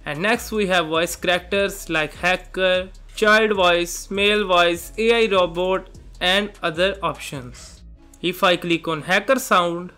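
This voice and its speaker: A man with a notably high voice gives step-by-step software instructions. His tone is bright and forward, his phrasing simple, and music plays faintly underneath. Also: slow, clean tone. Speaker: software tutorial presenter. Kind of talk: step-by-step tutorial narration. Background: background music.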